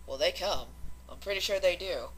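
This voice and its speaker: A woman's voice: fairly low pitch, normal speed, fairly bright tone, slight background noise, poor audio. A woman co-hosting in casual banter, playful and teasing in the way she talks. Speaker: co-host and voice performer.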